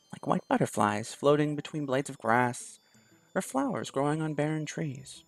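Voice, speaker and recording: A man quietly reading a visual novel aloud in first person, soft-spoken. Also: medium pitch. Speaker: video game YouTuber.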